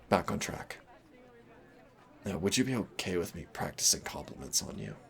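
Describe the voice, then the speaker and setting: A man playing a shy, gentle, nervous young man in an intimate audio roleplay, hesitant, rambling and self-deprecating. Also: extremely low pitch, vocal fry, exaggerated voice. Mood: nervous, apologetic.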